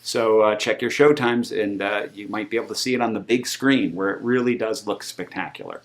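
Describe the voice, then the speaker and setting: A man's voice: fairly low pitch, brisk. Middle-aged man, American accent, effusive, casual interview chat, film producer. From Massachusetts, USA. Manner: enthusiastic, effusive.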